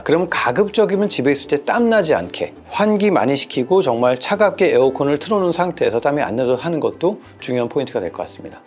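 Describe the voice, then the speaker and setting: Middle-aged male doctor, chatty explainer, lively intonation, faint music bed. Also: mid-range voice. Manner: chatty, animated, quotes patients. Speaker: plastic surgeon. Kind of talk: clinic Q&A explainer video.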